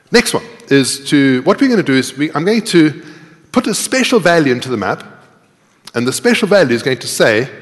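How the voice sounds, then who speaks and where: A man's voice: medium pitch, fairly bright tone. Middle aged guy doing a coding demo on stage, informal, talking thru what he types, big echoey hall. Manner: informal, self-deprecating, thinking aloud. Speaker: conference speaker, programmer. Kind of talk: live coding demo talk, explaining while typing. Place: large tiered lecture hall, indoors. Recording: reverberant lecture hall.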